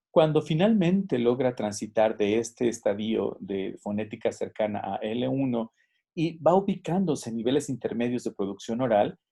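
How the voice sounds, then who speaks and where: A man's voice: medium pitch, gravelly, warm tone, breathy edge. A man giving a recorded lesson, explaining and demonstrating English pronunciation examples. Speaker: teacher. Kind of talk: recorded lecture.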